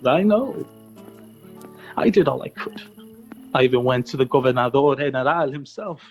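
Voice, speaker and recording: An actor as a solemn, honorable army lieutenant. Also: male voice, medium pitch, expressive, moderate pace, soft background music, lightly acted, rough recording. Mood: regretful. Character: grave, honorable lieutenant recounting a tragedy. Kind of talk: radio drama acting.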